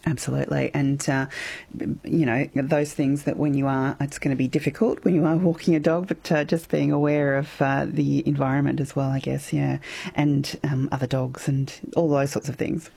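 A man's voice: mid-range voice, level tone, normal speed, vocal fry, dark tone, slightly rough. An Australian man hosting a casual radio interview, phrasing things loosely and hesitantly. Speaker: radio host.

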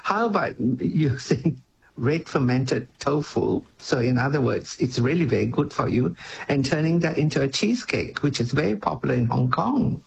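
A man's voice: mid-range voice, expressive, normal speed, creaky, a little hiss or hum, rough recording. A man, a cooking educator and author, talking food on the radio with enthusiasm and frequent laughs. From Malaysia. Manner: enthusiastic, descriptive, laughs often. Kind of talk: radio interview.

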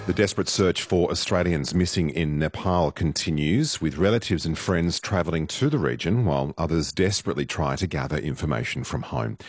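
Deep-voiced male radio host, music under. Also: brisk, few pauses. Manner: friendly, upbeat introductions. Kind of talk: scripted news program presenting.

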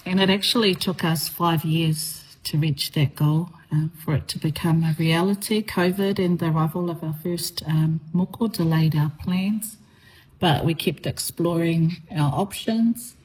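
A middle-aged woman from New Zealand, a teacher, answering radio interview questions in a measured way with frequent ums and uhs. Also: sits low, a little mellow, poor audio.

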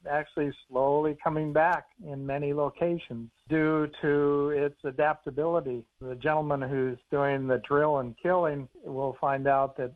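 A man's voice: on the high side, fairly even intonation, moderate pace, few pauses. A man with an American accent, an author and conservation biologist, explaining things in an easygoing way during a radio phone interview. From United States. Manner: easygoing, explanatory, chuckles now and then. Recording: tinny phone line.

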